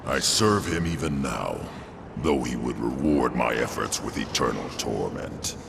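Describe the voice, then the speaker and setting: Merciless judge of the dead, fantasy game: low, very gravelly, unhurried, solemn, portentous. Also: male voice, expressive, noisy background, full character voice. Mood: solemn, stern. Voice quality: deep, gravelly voice. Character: solemn, merciless undead judge of lost souls. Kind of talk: dramatic fantasy game acting. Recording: game cutscene with loud music.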